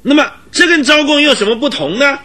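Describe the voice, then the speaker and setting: Indignant police chief, radio drama: high, forceful voice, emphatic and stubborn, music underneath. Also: male voice, very animated, brisk, a little hiss or hum. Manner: emphatic, exclamatory, argumentative. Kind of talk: Mandarin radio drama acting.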